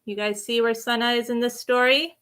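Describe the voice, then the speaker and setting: A woman's voice: a little high, steady tone, a little fast, fairly bright tone. A woman hosting children's story time, reading a storybook expressively with simple asides and questions for young listeners.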